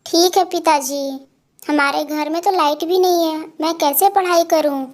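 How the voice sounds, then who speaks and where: A woman voicing an earnest, pleading poor village boy in an animated moral story. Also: high-pitched, measured pace.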